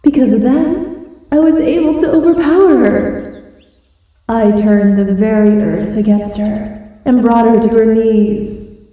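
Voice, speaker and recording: A woman playing a proud, feral but soft-hearted giant wolf girl in an ASMR roleplay, with breathy, dramatic pauses. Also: fairly high pitch, natural voice. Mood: dark, menacing. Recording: very echoey, distant from the mic.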